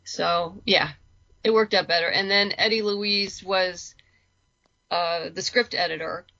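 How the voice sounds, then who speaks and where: A woman's voice: on the low side, steady tone, a little slow, pushed and bright, highs cut off. A woman chatting informally as an interview guest, thinking aloud as she explains her work as a writer. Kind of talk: casual conversational interview.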